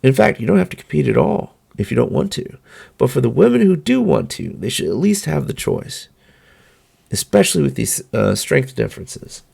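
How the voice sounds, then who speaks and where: Podcast host, male, very gravelly fry, mid pitch, earnest and halting, throat going hoarse. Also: big pitch swings, dark tone. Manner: earnest, measured, halting with fillers. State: hoarse, losing his voice. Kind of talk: solo opinion monologue.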